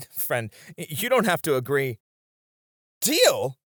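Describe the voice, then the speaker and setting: A man playing a flirty, supportive club host in an ASMR roleplay, speaking in a gentle, reassuring way. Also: on the high side, huge pitch swings, takes it slowly, dry recording, studio quality, heavily acted. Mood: playful, reassuring. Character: flirty, supportive male club host comforting his friends.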